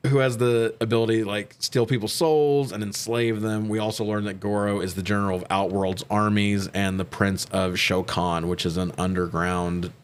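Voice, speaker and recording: A man's voice: lowish voice, little pitch movement, normal speed, few pauses, clean tone. A man co-hosting a movie review in casual, profane recap commentary, with an irreverent way of talking. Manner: irreverent, swears freely. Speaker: podcast co-host reviewing movies.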